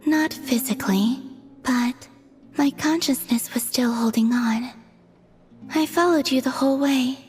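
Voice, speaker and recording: An actress playing a gentle young woman in a game cutscene, speaking softly and unhurriedly, at times breathily. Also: sits high, unhurried, soft background music. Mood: calm, warm. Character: gentle, soft-spoken young woman, a moon maiden newly named. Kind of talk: video game cutscene acting.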